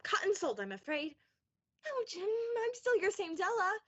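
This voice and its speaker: A young woman performs a devoted young wife in a radio play. Her voice is very high, anxious and pleading with a tender streak. The recording sounds dull and lo-fi. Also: big pitch swings, moderate pace. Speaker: student actor in a high school radio play. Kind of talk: stage-like radio play acting.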